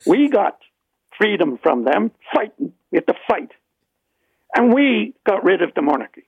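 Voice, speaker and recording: A man with an Irish accent and a gravelly, husky voice, giving an impassioned opinion as a caller on a phone line. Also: sits high, big pitch swings, normal speed, no room sound. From Ireland. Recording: narrow tinny phone line.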